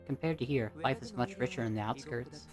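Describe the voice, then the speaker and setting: Solemn, thoughtful schoolboy, measured and mature, for a story voice-over played by the narrator, with music. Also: medium pitch, natural voice. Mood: earnest, musing. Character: Damien, calm, mature, philosophical solitary schoolboy.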